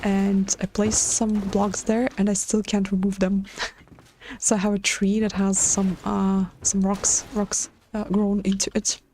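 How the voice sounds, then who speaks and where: A woman with a Latvian accent chats to her stream as she builds in a game, her speech hesitant and dotted with fillers and restarts. Her voice is mellow and fairly level, and a faint hiss runs underneath. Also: mid-range voice, completely flat delivery, measured pace. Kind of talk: casual live-stream chat while building in a game.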